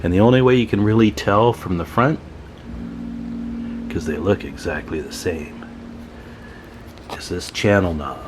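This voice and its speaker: A man's voice: low-pitched, unhurried, pauses often, background noise. A man hosting a radio repair video, giving casual, folksy commentary to viewers as he tinkers at his workbench. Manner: informal, folksy, talking to viewers while tinkering. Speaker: host of a radio repair channel. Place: at a workbench, indoors.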